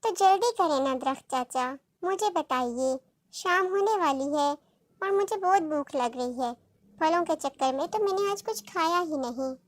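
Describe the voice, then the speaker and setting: An innocent young female bird from a children's cartoon, played by a woman. Her voice is high and childlike, with a polite, curious way of asking things. It is recorded dry, with no room sound. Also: lively intonation, moderate pace, no room sound. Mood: eager, hungry. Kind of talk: Hindi-Urdu cartoon dialogue.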